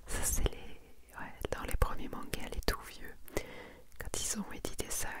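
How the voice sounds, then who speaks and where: A woman whispering an ASMR presentation in a breathy, intimate voice, moving between reading aloud and casual unhurried commentary. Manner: soft-spoken, intimate, unhurried. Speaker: ASMR host presenting a manga collection.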